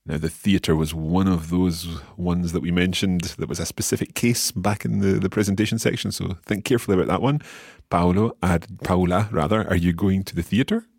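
Male podcast teacher, very low husky voice, dry close sound, patient and encouraging. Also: a little mellow, no room sound. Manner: encouraging, explains slowly and patiently. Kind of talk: language lesson podcast.

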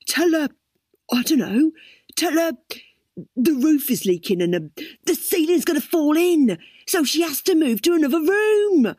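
A woman's voice: high voice, big pitch swings, measured pace, a little mellow, no echo, voice pushed up. A woman voicing a scheming young man who gives blunt, bossy orders.

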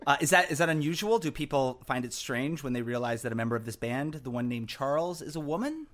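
A man's voice: medium pitch, lively intonation, quick pace. A man hosting a comedy podcast in a mock-formal, announcer-like manner. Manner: announcer-like, playful. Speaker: podcast host.

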